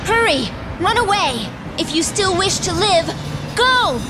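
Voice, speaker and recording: A woman's voice: very high voice, big pitch swings, measured pace, music in the background, heavily acted. A woman voicing a proud, dutiful young warrior princess in a fantasy video game, with formal, earnest delivery. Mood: urgent, commanding. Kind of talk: dramatic game dub acting.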